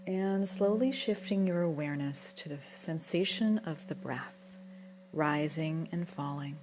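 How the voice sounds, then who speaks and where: A woman guiding a relaxation meditation in a gentle, soothing, unhurried manner, with soft breathy moments. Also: low voice, slight background noise. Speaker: yoga nidra guide.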